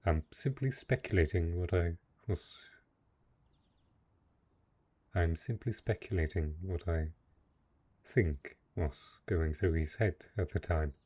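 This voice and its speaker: Man playing a deep, husky, brooding dark knight. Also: unhurried. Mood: apologetic, uneasy. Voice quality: husky, low voice. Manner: hesitant, earnest, formal phrasing. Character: lonely, possessive dark knight, lord of a forest castle. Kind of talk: dark fantasy romance audio roleplay.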